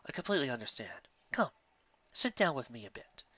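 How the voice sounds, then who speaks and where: Performer as a soft, bright-toned young stag. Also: sing-song, dry recording, distant mic, lo-fi sound. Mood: warm, calm. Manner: soft, low-key, gentle, measured. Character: calm, kind young male stag, reassuring.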